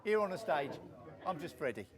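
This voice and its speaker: Chummy, high-voiced stage performer. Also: male voice, sing-song, a little fast, crowd noise. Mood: easygoing. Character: informal male stage performer making a deal. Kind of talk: radio play acting.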